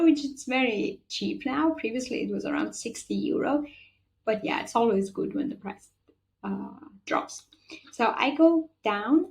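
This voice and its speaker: A woman's voice: on the high side, darkish tone. Woman with a Persian accent explaining. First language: Farsi. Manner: chatty and practical, with frequent fillers. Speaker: channel host. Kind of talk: explanatory tutorial voice-over.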